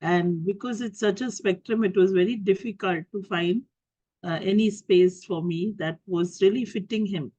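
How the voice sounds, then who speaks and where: A woman's voice: mid-range voice, dark tone, no echo. A middle-aged Indian woman with a cold, giving a talk over a video call, stopping and restarting often with fillers. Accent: Indian English. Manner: halting, many fillers and restarts. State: has a cold and cough. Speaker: guest speaker. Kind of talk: talk to an online group. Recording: dull lo-fi call audio.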